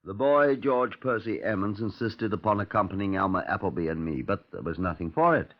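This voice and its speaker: An actor playing a courteous, persistent Scotland Yard chief inspector in an old radio crime drama, formal and measured. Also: male voice, on the low side, lightly acted. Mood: grave narration. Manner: formal, measured, politely insistent. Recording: dull 1950s radio recording.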